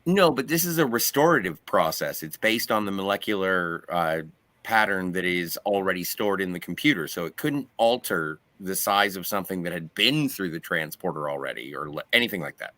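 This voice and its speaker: Male panelist, lowish and forceful, very expressive intonation, wry skeptic in casual banter. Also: a little fast, no echo. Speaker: review show panelist.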